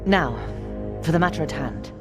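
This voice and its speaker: Stern older mom type resistance leader briefing heroes in a game, lowish voice, music behind. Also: female voice, lively intonation, moderate pace, a little mellow. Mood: grave, briefing. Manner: grave, authoritative.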